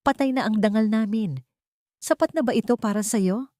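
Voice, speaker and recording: A woman's voice: a little high, normal speed, warm tone, no room sound, studio quality, pitched above the performer's own voice, lightly acted. A woman voicing a defeated rich son who pleads, in an audiobook drama. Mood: pleading.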